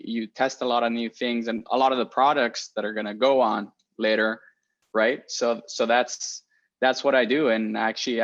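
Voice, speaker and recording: Young male engineer from Spain, mid-pitched, easygoing career talk with restarts, muffled lo-fi audio. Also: a bit bright. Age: 20s. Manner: friendly, rambling with frequent restarts and fillers. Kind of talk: casual talk to students.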